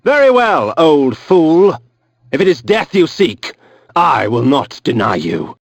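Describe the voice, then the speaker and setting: An actor voicing a cynical, aristocratic vampire antihero, narrating a dark video game with eloquent, theatrical disdain. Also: male voice, a little high, big pitch swings, slow pace, vocal fry, no echo, full character voice. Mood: contemptuous. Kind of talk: dramatic scripted game narration.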